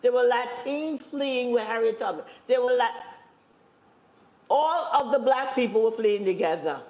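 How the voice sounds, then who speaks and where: A woman speaking on a panel, making her points with emphatic repetition and deliberate slow stresses. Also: fairly high pitch, a little mellow. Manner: emphatic, repeats phrases, stresses points slowly. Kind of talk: impassioned panel talk. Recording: echoey room.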